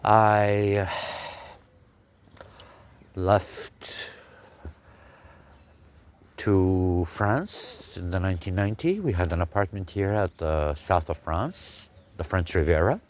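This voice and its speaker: Man, 40s, deep voice, halting personal testimony. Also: lively intonation. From Kuwait. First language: Arabic. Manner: halting, with frequent pauses. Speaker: speaker telling his life story.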